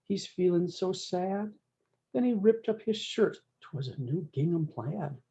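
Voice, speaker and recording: Mellow woman reading a folksy storybook aloud. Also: fairly low pitch, lively intonation, unhurried. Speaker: story time host reading a picture book. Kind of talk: read-aloud of a rhyming cowboy storybook with brief asides.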